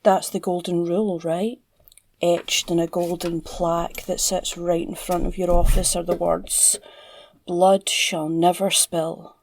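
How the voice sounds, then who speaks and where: A woman with a Scottish accent playing an obsessive, flirtatious female villain in an ASMR roleplay, teasing and drawling in a breathy, gravelly voice. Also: on the low side, flat delivery, a little slow, darkish tone. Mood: intrigued, musing. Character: obsessive, flirtatious, psychotic female villain, captured crime boss. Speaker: audio roleplay voice actor.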